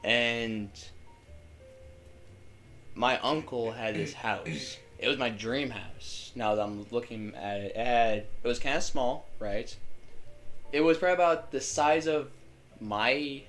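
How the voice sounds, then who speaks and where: A man's voice: medium pitch, slow pace, long pauses, a bit bright, soft background music. A young man co-hosting a casual podcast, rambling and stumbling over words, swearing freely. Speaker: podcast co-host. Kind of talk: casual chat.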